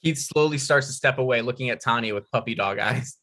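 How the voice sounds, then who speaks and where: A man's voice: medium pitch, a little fast, sharp tone. A man reading out scripted stage directions as narrator at a comedy table read.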